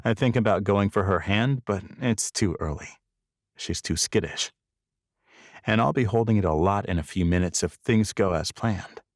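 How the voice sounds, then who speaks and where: A man's voice: on the low side, moderate pace, no echo, studio quality. A man narrating a first-person romance novel as an audiobook.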